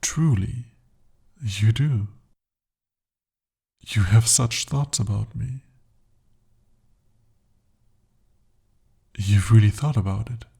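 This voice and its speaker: A man voicing a devoted, possessive male demon in an ASMR romance roleplay, speaking softly and formally. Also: lowish voice, vocal fry, slightly rough, full character voice. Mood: earnest. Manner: soft, intimate, formal speech. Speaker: voice actor.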